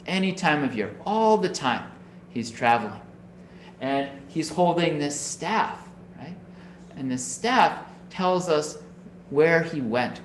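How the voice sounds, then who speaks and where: Man from Minnesota giving a relaxed Dharma talk. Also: medium pitch, roomy sound, slight background noise. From Minnesota, USA. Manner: conversational, often adds "right?" to check with listeners. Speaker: Buddhist minister. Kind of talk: Dharma talk over video call. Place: home room on a video call. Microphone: video call.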